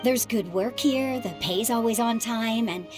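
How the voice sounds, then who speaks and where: A woman voicing a calm widowed mother. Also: a little high, measured pace, lightly acted. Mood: friendly. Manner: calm, soft-spoken. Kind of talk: video game voice acting. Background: game music.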